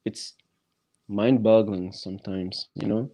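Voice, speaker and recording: Halting, mellow-voiced man talking slowly. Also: on the low side, fairly even intonation, gravelly. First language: neither English nor French. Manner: halting, many restarts and fillers. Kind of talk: casual podcast chat.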